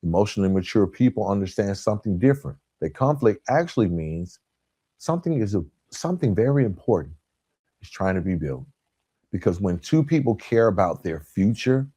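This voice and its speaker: A man hosting a motivational self-help podcast, speaking in an emphatic, instructional way with deliberate pauses and stressed key words. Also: fairly low pitch, lively intonation, a little mellow, breathy edge, no echo. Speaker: podcast host.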